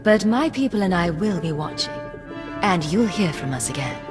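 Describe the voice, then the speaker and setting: A self-possessed female spy played by an actress with a low, controlled voice in a long reverb. She is guarded, serious and clipped, a dramatic video game heroine. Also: lively intonation, normal speed, natural voice. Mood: resolute. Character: cool, resolute female secret agent, self-possessed and guarded. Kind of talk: scripted dramatic game acting. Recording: reverberant hall, music behind.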